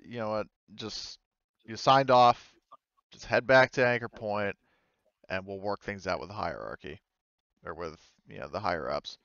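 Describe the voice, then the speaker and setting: A man's voice: lowish voice, normal speed, creaky, a bit bright, clean tone, no echo, muffled recording. A man voicing an unhelpful, defensive female dock worker in a tabletop game, with a dismissive manner. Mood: resigned.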